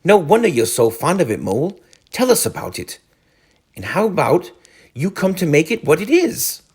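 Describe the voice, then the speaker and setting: A man voicing a cheery, brisk, encouraging rat in a storybook, with a hearty, chummy manner. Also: a little high, sing-song, slightly rough, pitched above the performer's own voice. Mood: admiring. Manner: hearty, upbeat, chummy British-storybook phrasing.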